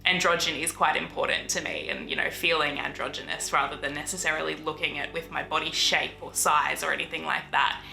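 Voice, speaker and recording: A person with a woman's voice speaking thoughtfully in a documentary interview, measured and often saying "you know". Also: deep voice, flat delivery, gravelly, bright, forceful tone. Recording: echoey room with music behind.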